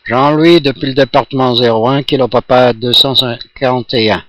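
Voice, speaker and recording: A man's voice: mid-range voice, steady tone, normal speed, fairly bright tone, no echo. A jovial man chatting casually as an amateur radio operator, talking in a rambling, hesitant way.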